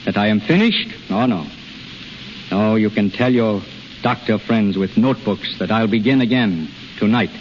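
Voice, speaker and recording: A man's voice: on the low side, normal speed, natural voice. An actor in a 1930s radio drama playing a proud, tormented healer, urgent and commanding, often repeating his words. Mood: defiant. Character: intense, proud, tormented male self-taught healer. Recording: noisy, dull 1930s radio recording.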